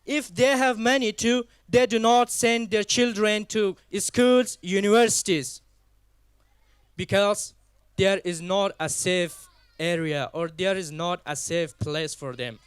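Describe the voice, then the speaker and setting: Slow, high-voiced young Afghan man. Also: clean tone, no echo. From Afghanistan, raised partly in Pakistan. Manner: halting, deliberate, in short phrases with non-native English. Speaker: guest speaker at a community event. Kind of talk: public speech, personal testimony.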